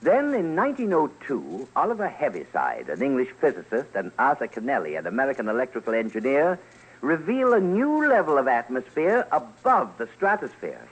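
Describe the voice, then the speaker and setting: A man's voice: mid-range voice, wildly swooping pitch, a little fast, husky, low-quality recording. A man narrating a scripted radio documentary in a theatrical, emphatic old-time style. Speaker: commentator, narrator. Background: music.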